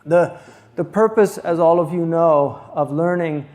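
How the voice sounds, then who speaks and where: A man hosting a lecture, introducing a guest scholar in unscripted, halting talk with many pauses and fillers. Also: fairly high pitch, a little mellow. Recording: echoey hall, distant mic.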